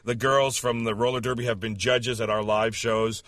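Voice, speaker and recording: Guy explaining his league, bright voice, eager, dry mic. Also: medium pitch, level tone, normal speed, no room sound. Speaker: league organizer guest. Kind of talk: casual chat.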